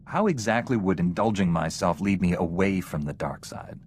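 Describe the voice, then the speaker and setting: An actor playing an earnest, straight-laced warrior-monk hero in a science fiction video game, speaking in a measured, serious, dry manner. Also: male voice, sits low, a little hiss or hum, lightly acted. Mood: skeptical. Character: earnest, principled, straight-laced male Jedi knight hero. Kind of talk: video game voice acting, character dialogue.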